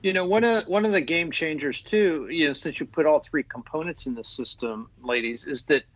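A man's voice: on the high side, moderate pace, a bit bright, dry recording, lo-fi sound. A man talking as an Army guest on a podcast, eager and loose, with many fillers and restarts. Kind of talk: conversational podcast interview.

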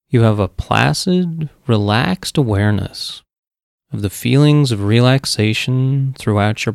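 Male meditation coach, calm and measured, dry close studio sound, lots of vocal fry. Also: medium pitch, no room sound. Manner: calm, measured, long pauses between phrases. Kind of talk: guided meditation, slow soothing instruction.

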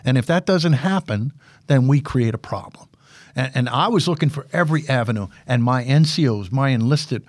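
A man's voice: fairly high pitch, no echo. Older man with a big, dry voice. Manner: animated storyteller, repeats words and restarts often. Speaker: guest, former military public affairs officer and instructor. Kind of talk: casual podcast interview.

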